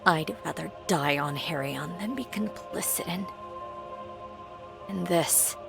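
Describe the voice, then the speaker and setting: Defiant low-toned female agent. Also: slow, vocal fry, heavily acted. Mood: bitter, determined. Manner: blunt, challenging, dramatic. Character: defiant, hot-tempered female military agent, tough and sardonic. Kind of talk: video game cutscene acting. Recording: music under the voice.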